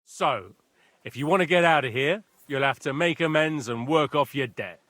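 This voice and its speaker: An actor playing a blunt, impatient castle guard in a video game. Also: male voice, fairly high pitch, moderate pace, a bit bright, no room sound, natural voice. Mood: gruff. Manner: gruff, curt.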